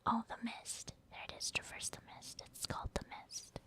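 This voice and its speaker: A woman doing soft, whispered ASMR commentary over gameplay, chatting informally to her viewers. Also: moderate pace. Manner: informal, conversational, addressing viewers. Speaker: ASMR gaming creator.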